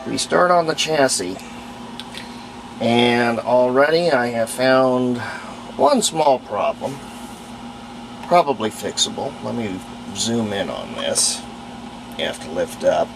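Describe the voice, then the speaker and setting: Casting: male DIY repair host, average pitch, plainspoken and unscripted, recorded with noticeable ambient noise. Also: expressive, takes it slowly, gravelly, pauses often. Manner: unscripted, plainspoken, thinking aloud while working. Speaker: host of a radio restoration video. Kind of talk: hands-on repair demonstration, casual narration.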